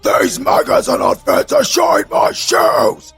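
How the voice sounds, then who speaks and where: A man playing a proud yet servile goblin king with a gravelly rasp and grandiose, theatrical delivery in a fantasy audio drama. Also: extremely high pitch, steady tone, a little slow, fairly bright tone, faint music behind, heavily acted. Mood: angry, barking. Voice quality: gravelly, rasping. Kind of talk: fantasy audio roleplay voice acting.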